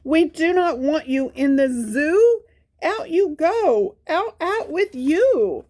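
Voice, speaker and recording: A woman's voice: high-pitched, very animated, takes it slowly, a little mellow, pitched above the performer's own voice, heavily acted. A woman voicing blunt, challenging children who act as zookeepers in a picture book, speaking emphatically. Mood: dismissive. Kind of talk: children's storybook character reading.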